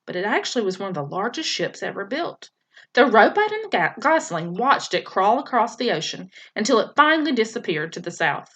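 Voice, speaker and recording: A woman's voice: mid-range voice, a bit bright. A woman teacher reading a children's novel aloud, storytelling expressively with occasional slips and restarts.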